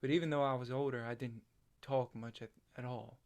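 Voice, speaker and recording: A man performs a self-doubting country farm boy in a stage drama. He speaks haltingly and plainly to a friend, like someone haunted and unsure of himself. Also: mid-range voice, steady tone, close to an everyday voice. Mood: wistful. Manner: halting, hesitant, plain-spoken. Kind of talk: stage play acting.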